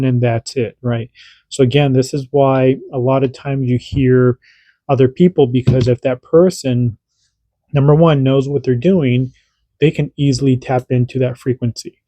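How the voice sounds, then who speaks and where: Mellow-voiced man demoing walkie-talkies. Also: mid-range voice, no echo. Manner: chatty, repeats himself, radio checks. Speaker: radio hobby channel host. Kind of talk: casual demonstration tutorial.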